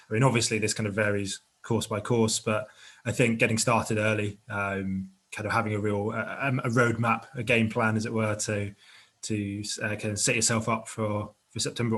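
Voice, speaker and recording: A man's voice: fairly low pitch, a bit bright. A man answering questions informally as a webinar panellist, explaining in a tentative, hedging way. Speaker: webinar panellist, admissions adviser. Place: online webinar.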